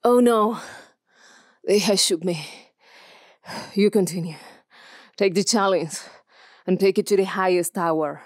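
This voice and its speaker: A woman's voice: medium pitch, measured pace, pauses often, a little mellow, no echo, clean studio sound, close to an everyday voice. A woman with an Argentine Spanish accent playing a wounded, urgent soldier in a video game. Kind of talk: dramatic video game acting.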